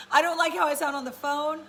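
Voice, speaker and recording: A woman with a raspy voice, chatting casually and encouragingly to viewers on a live stream from home. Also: high-pitched, steady tone, moderate pace, a bit bright, faint music behind, rough recording. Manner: chatty and encouraging, many fillers. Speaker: live-stream host and business coach.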